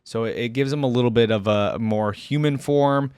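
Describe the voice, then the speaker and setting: A man's voice: medium pitch, normal speed, a little mellow, clean tone, dry recording, studio quality. A man giving casual, informal reaction commentary on game character skins, thinking aloud as he goes. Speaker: reaction video host.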